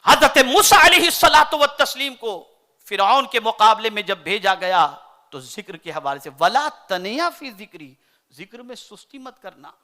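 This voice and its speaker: A man from India, preaching a sermon in an oratorical style that swings between loud declaiming and soft recitation. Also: high voice, lively intonation, moderate pace. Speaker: Islamic preacher.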